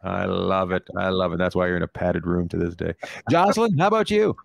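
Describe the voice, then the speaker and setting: A man in his forties hosting a comedy panel over a video call, with a gravelly edge to his voice. His pitch swings widely as he teases and mocks his guests. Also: expressive, fairly quick. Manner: teasing, mocking. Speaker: comedy show host.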